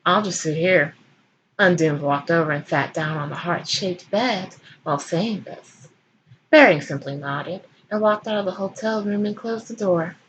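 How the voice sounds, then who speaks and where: A woman's voice: on the low side, moderate pace, dull audio, slight background noise. A woman giving a comic dramatic reading of fan fiction as host, in a theatrical, irreverent manner.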